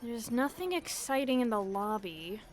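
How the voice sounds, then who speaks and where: A sensible, bookish girl acted by a woman with a high voice. She cautions and reasons in the manner of a 1920s radio play. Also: animated, normal speed, natural voice. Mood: cautious, urgent. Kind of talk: 1920s radio drama acting.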